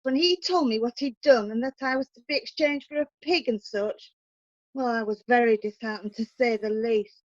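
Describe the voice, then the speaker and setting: A woman's voice: fairly high pitch, moderate pace, no echo, lo-fi sound, lightly acted. A woman playing a mistreated wife in a period courtroom radio play, speaking earnestly. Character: put-upon wife, meek then defiant. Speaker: witness.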